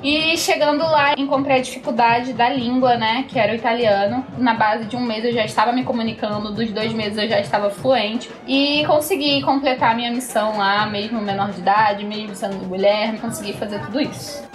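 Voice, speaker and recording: A woman's voice: a little high, fairly even intonation, fairly quick, hardly any pauses, music in the background. A young woman, telling her own story conversationally in an interview. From Brazil, Rio de Janeiro. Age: young adult. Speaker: capoeira practitioner interviewee.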